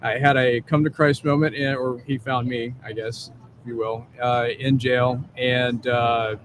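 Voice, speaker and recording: A man's voice: mid-range voice, little pitch movement, measured pace. A man working as a case manager, talking candidly and plainly about his past, outdoors. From Ohio, raised in Columbus. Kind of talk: casual outdoor interview. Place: outdoors on a bench by a street. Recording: street noise behind.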